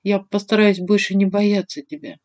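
Woman reading as a gentle, timid young woman. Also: mid-range voice, moderate pace, close to an everyday voice. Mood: gentle, apologetic.

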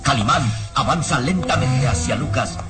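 A man acting as the solemn, suspenseful narrator of an old radio drama, in a measured delivery. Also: medium pitch, lively intonation, natural voice. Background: dramatic music.

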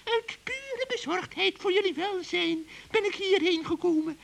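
An extremely high, shrill old witch, acted out by a man for a children's radio play. She is sly and wheedling, dragging out her fawning pleas. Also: lively intonation, creaky, a bit bright, full character voice. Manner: drawn-out pleading and fawning.

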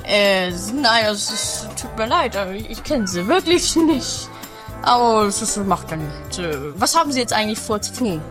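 A man playing a grumpy, banished guru in a comedy radio play, with a halting, dry, grumbling delivery. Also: high-pitched, sing-song, music in the background.

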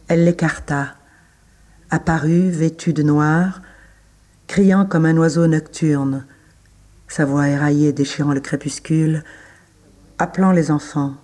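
A man reads literary prose for an audio drama in a slightly high voice. His pace is very slow and measured, with pauses that set each short phrase apart. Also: warm tone. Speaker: literary reader.

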